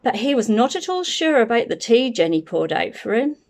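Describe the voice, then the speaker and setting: Female storyteller for young children, slightly high voice, highly varied intonation, deliberate picture-book delivery. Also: big pitch swings, vocal fry, darkish tone.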